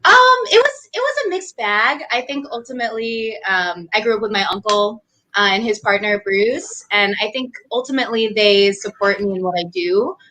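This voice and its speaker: A woman's voice: medium pitch, lively intonation, normal speed, pushed and bright, low-quality recording. A young woman from New Hampshire, a doctoral student talking casually as an interview guest, chatty with frequent fillers and laughs. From New Hampshire, USA. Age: young adult.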